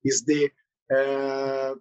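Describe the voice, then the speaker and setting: A man's voice: mid-range voice, monotone, extremely slow, a little mellow, smooth. Brazilian man explaining tax rules. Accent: Brazilian Portuguese. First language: Portuguese. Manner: explanatory, with frequent "uh" and non-native phrasing. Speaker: transfer pricing consultant. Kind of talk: podcast interview answers.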